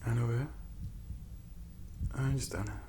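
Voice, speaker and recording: A man speaks softly and gently as a doctor in an ASMR medical roleplay, reassuring and unhurried, at times dropping toward a whisper. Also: medium pitch, steady tone, background noise. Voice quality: soft, hushed, at times whispered. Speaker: ASMR performer playing a doctor.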